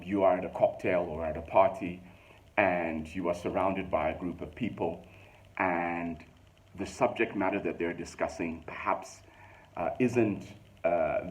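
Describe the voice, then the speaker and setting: A man with a Zambian accent shares a reflection with his listeners. His voice sits very low, and his delivery is thoughtful and loose, full of fillers, with slowed-down stretches to drive a point home. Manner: thoughtful, many fillers, slow emphatic stretches. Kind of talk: casual spoken reflection to listeners.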